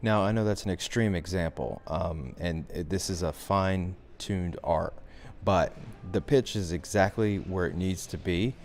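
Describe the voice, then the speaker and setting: Low-voiced man giving a software tutorial. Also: unhurried, few pauses, over music. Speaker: instructor. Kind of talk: software tutorial, talking through steps.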